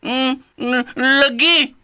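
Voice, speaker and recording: Naive radio drama innocent: Cebuano accent, piercingly high, slow, stammering, childlike. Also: male voice, steady tone, bright, forceful tone, clean tone, exaggerated voice. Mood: innocent, concerned. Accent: Filipino, Cebuano. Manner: halting, stammering, repeats words. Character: simple childlike male companion, naive and good-hearted. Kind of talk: Philippine radio drama acting.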